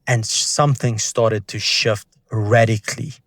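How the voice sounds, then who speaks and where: Young man, flat intonation, close dry sound, reflective, earnest, personal testimony storytelling. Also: lowish voice, measured pace, no echo. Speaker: video creator sharing a personal testimony.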